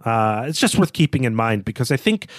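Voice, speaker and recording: A man's voice: medium pitch, sing-song, brisk, no room sound. Analytical man co-hosting a book podcast, dry sound. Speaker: podcast co-host. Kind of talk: conversational book discussion.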